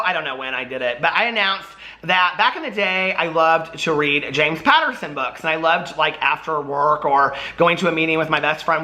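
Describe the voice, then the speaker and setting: Casting: American man, middle-aged book vlogger, sharp bright voice, animated and casual, prone to rambling asides. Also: on the high side. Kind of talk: casual chatty vlog talk.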